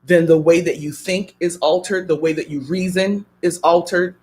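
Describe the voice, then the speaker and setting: A man talking on a radio show with a voice pitched a little high. He speaks like a preacher, building his points through strings of repeated questions. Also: steady tone, moderate pace, darkish tone. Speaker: guest. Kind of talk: radio talk show conversation.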